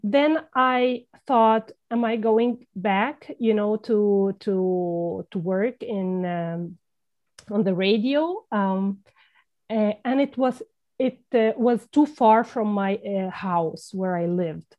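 A woman with a Levantine Arabic accent recounts her path into teaching in an unhurried, halting way. Fillers and restarted phrases run through her speech, and the pitch sits at a middle level. The sound is dull and lo-fi, like remote call audio. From Palestine. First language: Palestinian Arabic. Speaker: language teacher and former journalist, guest. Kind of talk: conversational podcast interview.

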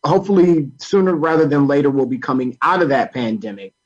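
Halting American man, higher-pitched, dull lo-fi sound. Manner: halting, repeats words while explaining. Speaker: guest, labor council political director. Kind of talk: radio interview, political advocacy talk.

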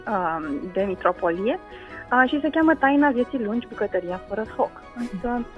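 A woman explains as a guest in a radio interview, often pausing mid-phrase as she searches for words. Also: medium pitch, muffled recording. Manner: hesitant, with frequent pauses mid-phrase. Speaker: guest food culture researcher.

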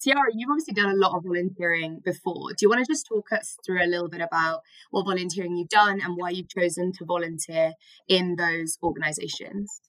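A woman's voice: lowish voice, brisk, fairly bright tone, no echo, rough recording. A woman in her twenties from Britain, co-hosting a podcast and thinking aloud with many fillers. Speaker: podcast co-host. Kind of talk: casual podcast conversation.

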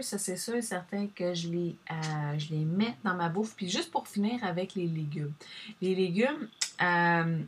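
A woman's voice: on the low side, a little hiss or hum. A woman with a Québécois French accent chatting casually to viewers, rambling and addressing them directly as she shares advice.

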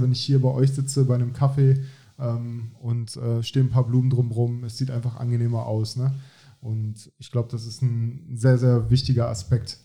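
A man's voice: mid-range voice, dark tone. A man hosting a podcast, talking in long rambling sentences with a frequent tag "ne?". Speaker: podcast host. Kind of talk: casual interview chat.

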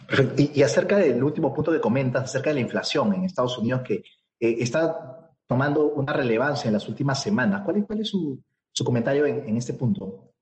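A male interviewer who talks quickly and starts his questions with repeated words. His voice is mid-pitched and carries a noticeable room echo. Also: expressive, darkish tone.

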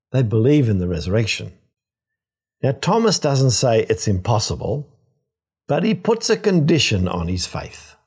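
An older man, a priest, giving a reflective scripture teaching in a measured, explanatory way with emphatic phrasing. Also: fairly low pitch, a little mellow, breathy edge.

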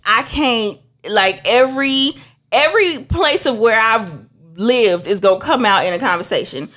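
A woman's voice: fairly high pitch, moderate pace. A young woman from Trinidad, a minister, telling stories in casual conversation and acting out what others said. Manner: animated storytelling, acts out other voices. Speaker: minister and beauty professional.

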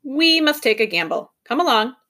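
A woman voicing a polite, brisk and patient male professor in a children's storybook, bright and decisive. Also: high-pitched, sing-song, pitched above the performer's own voice, full character voice.

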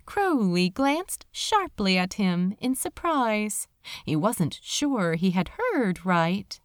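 A woman's voice: mid-range voice, sing-song, takes it slowly, no echo, clean studio sound. A woman reading fan fiction aloud as scripted, dramatic audiobook narration.

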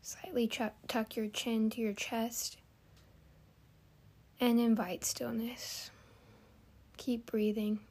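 A woman hosting a guided meditation, giving gentle, soothing instructions with long pauses. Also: a little high, level tone.

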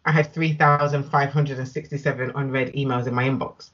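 British female interviewee, husky tone, candid and emphatic, slightly muffled lo-fi recording. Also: extremely low pitch, fairly even intonation, brisk. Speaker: interview guest, business owner. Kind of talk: casual conversational interview.

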